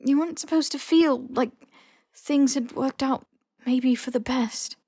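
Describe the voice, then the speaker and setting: Creaky-voiced woman narrating fiction. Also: high voice, unhurried, mellow, no room sound, studio quality. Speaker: podfic reader. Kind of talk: expressive scripted fiction narration.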